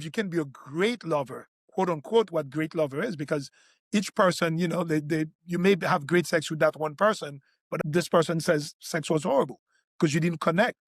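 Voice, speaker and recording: A man's voice: a little high, dry recording, studio quality. A man who hosts a health podcast, a doctor whose first language is not English, explaining in an earnest tone with frequent restarts. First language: French or Creole. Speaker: physician podcast host.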